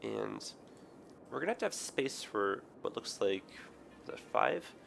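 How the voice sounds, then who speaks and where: A man with a raspy, hoarse, tired voice, rambling through casual game commentary with many ums and self-corrections. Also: medium pitch, big pitch swings, a little slow, a bit bright, slight background noise. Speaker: gaming video host. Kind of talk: casual let's play commentary.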